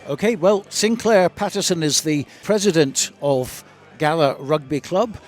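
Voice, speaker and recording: Higher-voiced man presenting sport. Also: unhurried. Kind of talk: live post-match chat.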